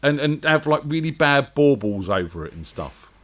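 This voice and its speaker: Animated male radio host, jokey banter. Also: fairly high pitch, normal speed, crowd noise. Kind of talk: casual chat.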